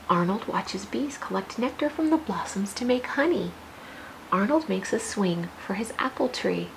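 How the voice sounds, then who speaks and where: An American woman teacher reading a picture book aloud to young children, expressive, with questions and teaching asides. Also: mid-range voice, gravelly, a little hiss or hum, low-quality recording.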